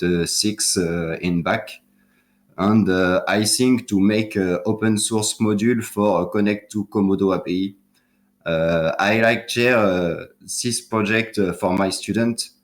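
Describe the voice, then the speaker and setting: Halting low-voiced man. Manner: hesitant, many fillers, limited English. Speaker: notary node candidate. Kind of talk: halting self-introduction. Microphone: voice call.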